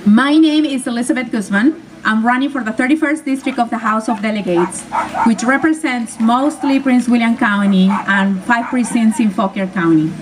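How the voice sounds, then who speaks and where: A woman's voice: fairly high pitch, moderate pace, a little hiss or hum. Peruvian-born woman speaking at an outdoor rally. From Peru. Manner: earnest, emphatic speech. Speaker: political candidate. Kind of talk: rally speech. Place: outdoor stage in a park. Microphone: podium mic recorded from a distant camera. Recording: distant outdoor PA sound.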